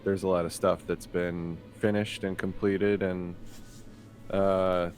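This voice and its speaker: Deep-voiced man, halting casual chat. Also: flat delivery, over music. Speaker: player on a tabletop roleplaying show.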